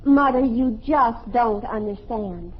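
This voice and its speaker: An American actress playing a sulky, melodramatic teenage daughter in an old radio family comedy, whiny and indignant. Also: female voice, on the high side, slow pace, breathy edge. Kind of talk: 1950s American radio family sitcom acting. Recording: muffled old radio recording.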